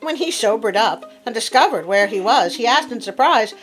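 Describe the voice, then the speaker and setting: A woman hosting a story channel, expressive and chatty as she reads tales and talks to her viewers. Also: on the high side, moderate pace, poor audio. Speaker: host and storyteller. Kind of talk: storybook reading with casual commentary to viewers. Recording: music playing behind the voice. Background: instrumental classical music.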